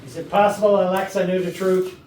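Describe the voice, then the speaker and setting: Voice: medium pitch, flat delivery, a little fast, darkish tone, echoey room, lightly acted. Actor playing probing detective, authoritative, staged mystery comedy, distant mic, faint background noise. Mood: accusing. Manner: authoritative, pressing questioner. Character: blunt, probing detective leading a murder interrogation. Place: rehearsal room for a staged reading.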